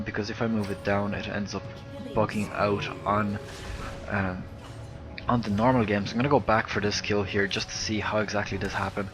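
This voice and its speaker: A soft, slightly lispy Irish man gives live play-by-play of a video game. His voice sits low and close on a headset mic. Faint game music plays underneath. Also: poor audio. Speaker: game commentator. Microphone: headset close to the mouth.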